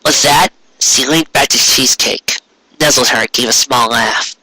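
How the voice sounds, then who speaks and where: A man reading a story aloud as an expressive narrator. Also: medium pitch, moderate pace, slight background noise. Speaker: narrator, fan fiction reader.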